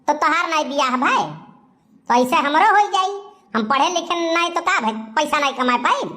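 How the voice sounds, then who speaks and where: A woman's voice: sits high, bright, forceful tone. A woman voicing a cheeky, lovesick, idle young village man in a comic cartoon, whining and arguing in an Awadhi accent. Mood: defiant.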